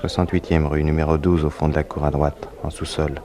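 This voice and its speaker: A shady barkeeper with a low voice and few words. He talks in a confidential, laconic way, in a vintage French radio crime play with faint noise. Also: little pitch movement, a little fast. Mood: terse, confidential. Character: terse, shady male underworld bar owner. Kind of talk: 1960s French radio drama acting.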